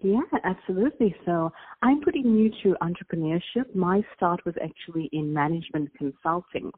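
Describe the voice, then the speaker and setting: A woman's voice: on the low side, normal speed, slightly rough. A woman from South Africa with a South African accent, a business founder answering interview questions in an earnest, reflective way. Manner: earnest, reflective, with fillers and restarts. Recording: tinny phone line.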